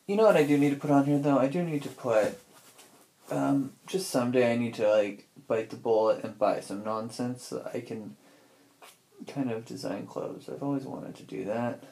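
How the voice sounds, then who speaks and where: A man's voice: medium pitch, normal speed, creaky, dark tone. A young adult man talking casually to himself in an informal, rambling monologue that often interrupts itself. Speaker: personal audio diarist. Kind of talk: casual thinking-aloud monologue.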